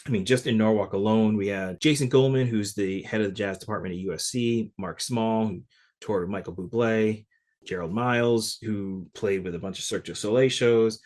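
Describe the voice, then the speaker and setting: A fairly low-pitched man from Connecticut, speaking as a podcast guest. He talks loosely and conversationally, sprinkling his stories with casual fillers. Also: moderate pace. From Connecticut, USA. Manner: relaxed, conversational, many "like" fillers. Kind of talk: casual interview storytelling.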